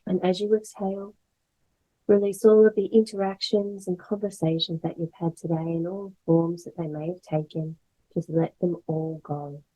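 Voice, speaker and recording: Low, husky woman guiding meditation. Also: fairly even intonation, poor audio. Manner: measured, gentle phrasing with pauses. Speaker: yoga instructor guiding a meditation. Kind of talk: guided meditation, slow soothing instruction.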